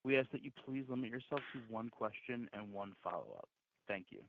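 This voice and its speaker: Male operator, fairly low voice, formal and procedural, scripted call instructions on a thin phone line. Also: fairly quick, vocal fry, slight background noise. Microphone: conference call line. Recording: narrow telephone line.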